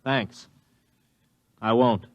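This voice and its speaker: A man's voice: medium pitch, fairly even intonation, extremely slow, no echo. An actor playing a defiant, impatient veteran spaceman who narrates and speaks in a 1950s radio drama. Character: defiant, impatient veteran male spaceman telling his story.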